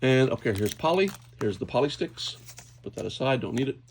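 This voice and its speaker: A man's voice: medium pitch, animated, a little fast. A man hosting a casual, unscripted toy unboxing, thinking aloud hesitantly as he handles things. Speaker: toy unboxing video host. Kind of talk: casual unscripted hands-on commentary. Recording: clear background noise, clicking handling sounds.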